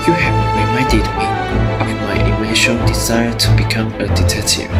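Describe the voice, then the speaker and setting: A woman playing a bitter, ironic male detective turned killer in an amateur radio drama, with a deliberate, theatrical delivery. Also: very deep voice. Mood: subdued, remorseful.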